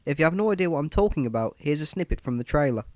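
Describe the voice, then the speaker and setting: A man's voice: a little high, animated, very fast, a little mellow, no room sound, clean studio sound. A man with a cold and a stuffy nose, giving a scripted, matter-of-fact voice-over analysis of a video game.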